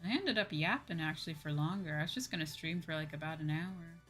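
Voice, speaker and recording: A woman's voice: low-pitched, fast talker, fairly bright tone, soft background music. A slightly sleepy woman hosting a livestream, chatting casually and informally with her viewers. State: a little sleepy. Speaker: livestream host, VTuber.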